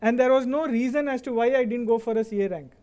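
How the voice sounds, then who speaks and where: An Indian man in middle age gives a convocation address in a relaxed, conversational way, often checking in with "right?". His voice sits fairly high for a man. It sounds mellow and smooth, and he speaks Indian English as a native Kannada speaker. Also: fast talker. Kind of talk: motivational speech.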